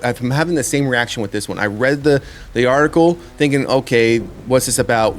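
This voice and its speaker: A man's voice: mid-range voice, a little hiss or hum. A man giving a casual spoken review as a host, rambling and often repeating his words as he works out his thoughts. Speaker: host of a horror trailer review show.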